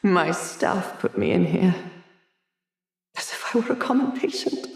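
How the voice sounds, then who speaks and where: A man's voice: high voice, huge pitch swings, unhurried, gravelly, reverberant, exaggerated voice. A man playing a haughty, haunted asylum doctor in a video game, theatrical and erudite, fretful and hesitant, obsessed with his art. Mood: indignant. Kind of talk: dramatic video game dialogue.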